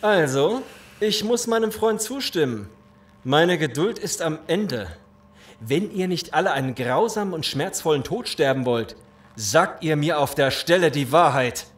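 Menacing, animated gang leader. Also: male voice, on the high side, measured pace. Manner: domineering, threatening, barking orders. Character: menacing gang leader, cold, commanding man. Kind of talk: German post-apocalyptic audio drama acting.

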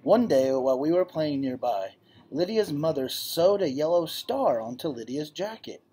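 Guy reading a kids storybook out loud, kinda husky, voice moves up and down a lot. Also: fairly high pitch, normal speed. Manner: expressive storytelling with dramatized dialogue. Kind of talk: storybook read-aloud. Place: indoor classroom. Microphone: webcam or laptop at desk distance.

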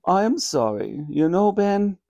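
A man's voice: high voice, big pitch swings, measured pace, vocal fry, warm tone, lo-fi sound, pitched above the performer's own voice, exaggerated voice. A man doing a fussy old granny. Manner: genteel, old-fashioned exclamations.

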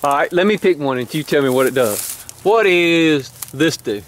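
A man's voice: fairly high pitch, expressive, moderate pace, slight background noise. A middle-aged man filming outdoors, teasing his partner with questions and talking to viewers. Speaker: co-host behind the camera. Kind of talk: casual banter, talking to viewers. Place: outdoor rural junkyard.

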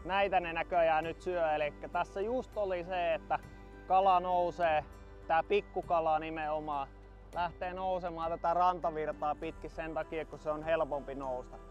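A Finnish man in his thirties gives casual commentary as he fishes a river rapid at dusk. His voice sits a little high, moves from excited calls to calm explaining, and is caught by a camera from a distance, with faint music underneath. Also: lo-fi sound. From Finland. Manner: excited shouts while landing a fish, then calm explaining. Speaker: fly angler.

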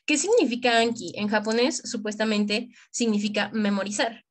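Woman, young adult, Mexican accent, higher-pitched voice, colloquial tutorial style, direct address. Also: moderate pace. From Michoacán, Mexico. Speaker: tutorial presenter for medical students. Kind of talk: informal screen-share tutorial.

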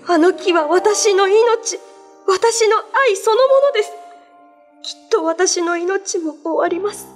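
A grieving spirit wife in a ghostly radio drama, extremely high and tremulous. She implores in slow, drawn-out, sorrowful phrases, with music clearly behind her. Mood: distraught, imploring. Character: sorrowful devoted wife, secretly a sacred tree spirit. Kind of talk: Japanese ghost-story radio drama acting.

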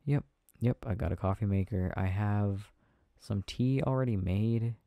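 A man playing an affectionate, tired boyfriend in an intimate ASMR roleplay, chatting softly and hesitantly with a playful, teasing manner. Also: lowish voice, a little slow, vocal fry, dry recording, muffled recording. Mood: tender, contented. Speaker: audio roleplay performer.

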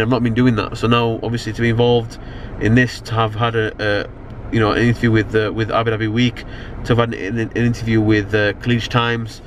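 A young man with a British accent talks off the cuff to his camera inside a car. His voice sits at a medium pitch and he rambles in loose slang, doubling back on his sentences. Clear car and street noise runs behind him. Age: young adult. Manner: rambling, slangy, frequent "you know what I mean". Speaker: vlogger. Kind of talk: casual chat to camera. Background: car and outdoor urban noise.

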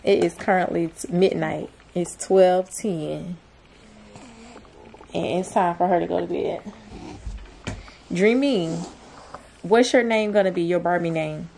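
Slow-talking young woman vlogging casually. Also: lowish voice, gravelly, darkish tone, slight background noise. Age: young adult. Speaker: vlogger, mother. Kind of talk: casual vlog chat to camera and to her child. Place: small plain room indoors.

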